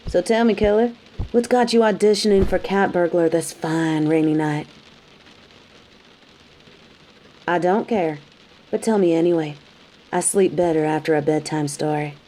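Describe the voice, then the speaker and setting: A woman's voice: lowish voice, steady tone, moderate pace, dark tone, a little hiss or hum, natural voice, poor audio. A woman playing a tough, sassy bounty hunter in an audio roleplay, speaking straight to the listener with dry, taunting, scolding bluntness. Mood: teasing, probing. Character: tough, sardonic female bounty hunter, grudgingly hospitable, scolding. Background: rain.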